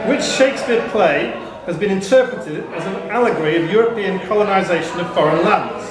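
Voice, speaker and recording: High-pitched male quizmaster in echoey pub. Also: fairly quick, music in the background. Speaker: pub quiz host and question reader. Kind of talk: live quiz reading with off-the-cuff banter. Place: live pub quiz in a busy room. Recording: echoey noisy pub, crowd chatter.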